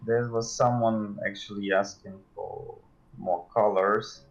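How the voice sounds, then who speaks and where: A man with a deep voice chats as a game developer joining a livestream. He explains details casually, hedging and feeling his way through the sentences. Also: steady tone, takes it slowly, creaky, lo-fi sound.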